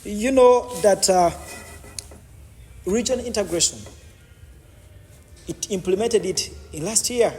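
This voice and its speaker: Male guest, high voice, explains with constant restarts; reverberant hall sound. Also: slow pace, pauses often, mellow. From Uganda. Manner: repetitive, many false starts and restarts. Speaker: podcast guest. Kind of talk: explanatory interview answers.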